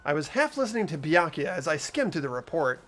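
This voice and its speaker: Man playing an earnest, high-voiced teenage boy. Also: very animated, a little fast, fairly bright tone, faint music behind. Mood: reflective. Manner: earnest, questioning. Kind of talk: voiced video game playthrough, dialogue and inner narration.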